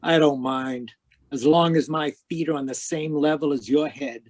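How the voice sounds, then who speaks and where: A man playing a hard-boiled, wisecracking private investigator in a 1940s-style radio noir drama, deadpan, clipped and sardonic. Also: on the high side, normal speed, noisy background, close to an everyday voice. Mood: sardonic, defiant. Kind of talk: 1940s radio noir acting with first-person narration. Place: live remote video-call performance.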